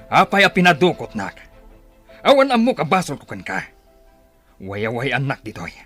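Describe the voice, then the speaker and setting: A man with an Ilocano Filipino accent playing a proud, defiant captive village leader in a radio drama, forceful and indignant. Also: mid-range voice, huge pitch swings, moderate pace, no room sound, faint music behind. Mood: angry defiance. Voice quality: raspy.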